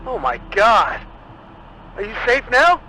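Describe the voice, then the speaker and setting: A man acting as an excitable, chatty ally in a post-apocalyptic video game, talking in an informal, emphatic way. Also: high voice, slow, exaggerated voice. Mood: alarmed. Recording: clearly noisy background.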